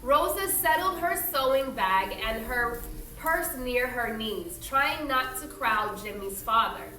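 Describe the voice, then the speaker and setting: A woman with a slightly high voice reads a children's story aloud like a teacher. She is expressive and instructive, pausing to explain things simply. The sound is clearly echoey and a bit far from the mic, with faint noise behind her. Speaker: teacher reading a picture book aloud. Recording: roomy echo, distant mic, faint noise.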